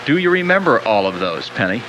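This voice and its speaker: An American man hosting a radio interview in a conversational, easygoing announcer's delivery with measured phrases. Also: medium pitch, sing-song, crowd noise. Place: radio studio. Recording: noisy old cassette of radio broadcast.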